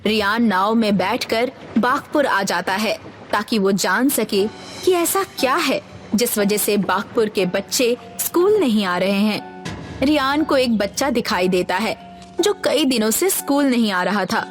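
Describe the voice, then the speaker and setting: A woman giving scripted narration for an animated children's story. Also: mid-range voice, measured pace, soft background music.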